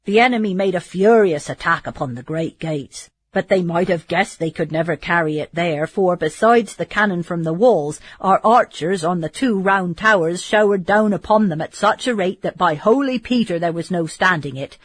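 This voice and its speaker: A woman's voice: fairly low pitch, normal speed, hardly any pauses, breathy edge. A woman voicing a boastful, jovial male soldier in an audiobook reading. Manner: loud, swaggering, laughing at his comrade.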